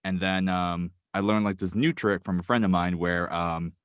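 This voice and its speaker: A man's voice: low voice, fairly even intonation, moderate pace, clean tone, no room sound. A man talking as a guest in a casual chat, rambling with lots of ums and likes, recording in a small closet with a blanket-wrapped mic. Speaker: podcast guest. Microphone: microphone wrapped in a blanket. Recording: dry, deadened closet sound.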